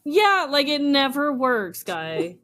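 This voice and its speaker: A woman with a slightly high voice, co-hosting a podcast. She banters casually and comically, slipping into imagined dialogue to act out her point. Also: takes it slowly. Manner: animated, acts out imagined dialogue. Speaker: podcast co-host.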